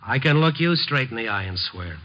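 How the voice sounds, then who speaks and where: An actor playing a wry, world-weary newspaper reporter in a 1950s radio drama, narrating and talking in a dry, wisecracking way. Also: male voice, mid-range voice, animated, a little fast, lightly acted. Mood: impatient, insistent. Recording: muffled old 1950s radio broadcast.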